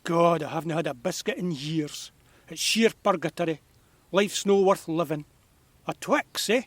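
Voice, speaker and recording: Grumpy, wry Scottish man of eighty played by a male actor, broad dialect, fairly high voice, audio drama, close dry sound. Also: moderate pace, no room sound, natural voice. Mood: wry, complaining. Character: eighty-year-old grumpy but wry Scottish man living alone.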